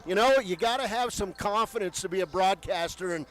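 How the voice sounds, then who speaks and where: A man's voice: high voice, a little fast, rough recording. A man hosting a radio pregame show from courtside, chatting upbeat with a guest. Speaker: radio sports broadcaster. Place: courtside in a gym.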